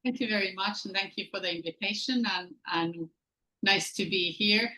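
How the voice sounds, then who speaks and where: A middle-aged woman from Finland with a Finnish accent, giving an informal webinar presentation in halting, non-native phrasing. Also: medium pitch, sharp tone, rough recording. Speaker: guest presenter, company founder. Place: online webinar.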